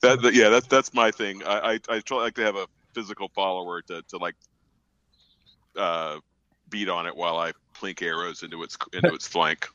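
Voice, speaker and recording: A man with a very low voice that has a sharp, bright edge. He talks casually as a gaming podcast panelist, often stalling and repeating words. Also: steady tone, moderate pace, no room sound, rough recording. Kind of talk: casual gaming roundtable chat.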